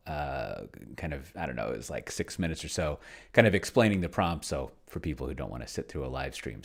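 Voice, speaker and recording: A man giving a casual, unscripted livestream walkthrough, offhand and self-deprecating, often trailing off and correcting himself mid-thought. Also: sits low. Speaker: livestreamer demonstrating solo tabletop roleplaying with an AI.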